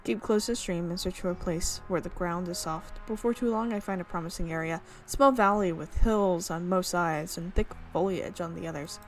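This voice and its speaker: A woman's voice: lowish voice, normal speed, hardly any pauses. Young woman streaming a visual novel, reads it out then chats, faint music behind. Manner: casual, chatty asides and giggles between narration. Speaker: let's play streamer. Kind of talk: reading a visual novel aloud with commentary.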